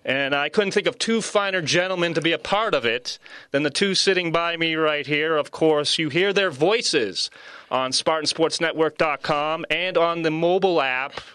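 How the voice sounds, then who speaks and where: Bright, clear-voiced male sports host. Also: fairly high pitch, moderate pace, no room sound. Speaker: podcast host. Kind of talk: upbeat sports interview. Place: sports network studio.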